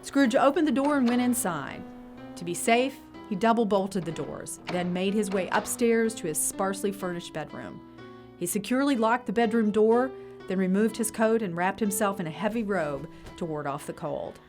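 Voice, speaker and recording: A woman narrates an audio drama in a scripted storytelling style. Her pitch is average for a woman, and faint music plays under the narration. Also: moderate pace, darkish tone.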